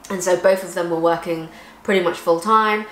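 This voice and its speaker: A woman's voice: on the low side, steady tone, normal speed. A woman from England, chatting casually and explaining things at length as a vlog co-host. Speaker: vlogger co-host. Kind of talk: casual chat. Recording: echoey room with faint ambience.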